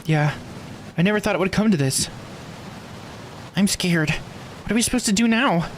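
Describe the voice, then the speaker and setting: A man voicing an excitable, frightened young woman in a video game, startled and questioning. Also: high voice, normal speed, slight background noise, voice pushed up, natural voice. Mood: scared, upset. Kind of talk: video game dialogue read aloud.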